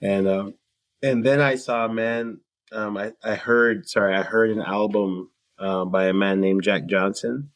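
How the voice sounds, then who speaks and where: Laid back guy from canada, real low voice, easygoing chat. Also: a little slow. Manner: relaxed, laid-back, many fillers and little laughs. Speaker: guest musician. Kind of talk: casual interview talk.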